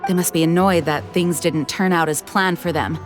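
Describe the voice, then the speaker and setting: A woman's voice: fairly low pitch, fairly even intonation, faint music behind, close to an everyday voice. A woman voicing a composed female knight leader in an anime game, formal and diplomatic in manner. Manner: formal, measured wording. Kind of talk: anime game character acting.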